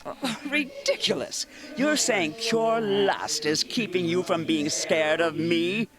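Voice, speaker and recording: A man's voice: high voice, lively intonation, slow, noisy background, exaggerated voice. A man voicing an ancient, haughty eldritch horror, a pompous and menacing villain in a video game. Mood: incredulous, rattled. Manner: pompous, theatrical, taunting. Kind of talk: dramatic video game voice acting.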